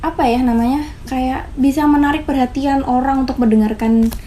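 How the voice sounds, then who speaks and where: A young woman speaks in a slightly high, soft-edged voice. She interviews informally, pausing to find her wording. There is some background noise. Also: steady tone. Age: 20s. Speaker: student interviewer. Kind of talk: casual interview.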